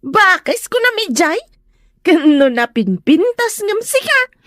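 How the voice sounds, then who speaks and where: A woman's voice: very high-pitched, very animated, slow pace, a bit bright, dry recording, studio quality, heavily acted. A woman with a Filipino accent playing a gossipy, prying neighbour in an audio drama. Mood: indignant. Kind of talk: Ilocano audio drama acting.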